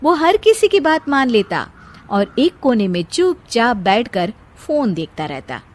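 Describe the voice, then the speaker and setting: A woman's voice: fairly high pitch, sing-song, unhurried. A woman storyteller giving scripted narration of a moral story.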